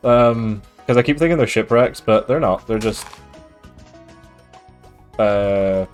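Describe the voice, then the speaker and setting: Scottish guy streaming, mild accent, lowish voice, chatty and laid back, faint music behind. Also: takes it slowly. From Scotland. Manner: relaxed, chatty, reads and answers chat with frequent fillers. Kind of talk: casual gaming stream chat.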